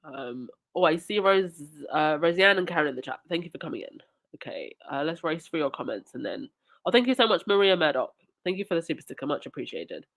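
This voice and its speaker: A woman's voice: low-pitched, very animated, fairly quick. Woman hosting a live stream with a cold. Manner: indignant, talks back to chat and supporters. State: stuffy nose from a cold. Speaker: livestream host. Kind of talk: live chat stream reading comments.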